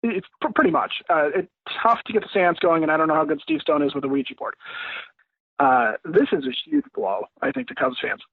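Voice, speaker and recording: A man's voice: on the high side, sing-song, brisk, creaky, breathy edge, no echo. American man on a thin phone line. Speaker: podcast guest, former radio program director. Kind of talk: casual sports discussion.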